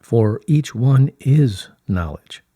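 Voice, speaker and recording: A man reading as a serene collective of higher light beings, in a stately, formal, measured manner. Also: lively intonation, mellow, no echo, clean studio sound. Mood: serene, explanatory. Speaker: reader of channelled messages. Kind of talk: formal, scripted channelled-message reading.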